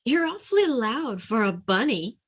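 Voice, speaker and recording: A woman voicing a gentle, big-hearted male bear who feels like a bunny, speaking softly and earnestly in a raised voice. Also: fairly high pitch, sing-song, moderate pace, breathy edge, pitched above the performer's own voice. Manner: soft, earnest and hopeful.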